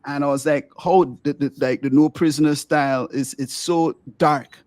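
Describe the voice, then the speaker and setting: A middle-aged man from Grenada with a light, somewhat squeaky voice, recorded close and dry with no room sound. He hosts the chat with eager, rambling talk and often starts over mid-sentence. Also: fairly even intonation, moderate pace, a little mellow, dry recording. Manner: enthusiastic, rambling, many restarts. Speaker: podcast host and musician. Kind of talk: casual podcast interview.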